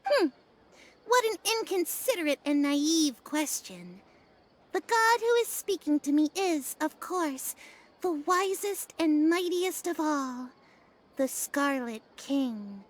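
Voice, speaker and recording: Fortune-teller, high voice, slow delivery, theatrical, pompous, haughty, video game dialogue. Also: female voice, sing-song, heavily acted. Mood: haughty, stern. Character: fortune-teller woman, haughty mouthpiece of the gods. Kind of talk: game cutscene voice acting.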